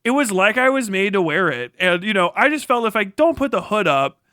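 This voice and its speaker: A man's voice: high-pitched, big pitch swings, brisk, bright, forceful tone, no room sound, studio quality, full character voice. A man mockingly voicing a gushing, oblivious female internet personality who rambles breezily on a livestream. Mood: mock gushing.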